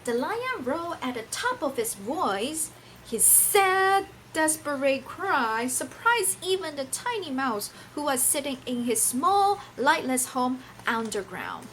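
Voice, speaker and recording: A woman reads aloud to children in a high, hugely varied voice. She is a non-native English speaker with a theatrical, teacherly manner, taking her time and putting questions to her listeners. There is some faint noise behind her. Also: sing-song, slow, a bit bright, poor audio. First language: not English. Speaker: storyteller reading a picture book to children.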